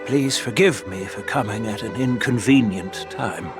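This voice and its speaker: A man plays an elderly general and grandfather in a video game. He speaks slowly at a medium pitch, modest and conciliatory, with faint music behind. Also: lightly acted. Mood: mild, apologetic. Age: older. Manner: mild, conciliatory, apologetic.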